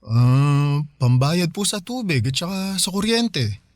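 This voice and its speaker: A man's voice: on the high side, very animated, slow, no room sound, studio quality. An actor with a Filipino accent playing a hardworking, affectionate son in his thirties in a radio drama, speaking respectfully and casually. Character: hardworking, dutiful, affectionate 35-year-old son.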